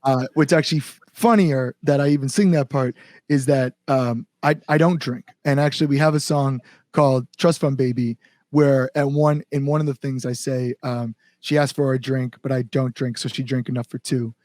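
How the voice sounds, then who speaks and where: A man's voice: mid-range voice, fairly even intonation, moderate pace, a little mellow, no room sound, clean studio sound. A man chatting casually as an interview guest, a musician talking enthusiastically in loose, conversational phrases. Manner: enthusiastic, frequent "like" and "you know". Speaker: guest musician, band singer.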